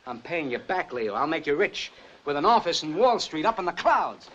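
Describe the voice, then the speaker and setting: An actor in an old film playing a smooth, persuasive racketeer lawyer. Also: male voice, fairly high pitch, very animated, normal speed, fairly bright tone, a little hiss or hum. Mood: coaxing. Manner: persuasive, coaxing. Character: smooth-talking racketeer lawyer, younger brother. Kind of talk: 1940s film acting. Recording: dull old film soundtrack.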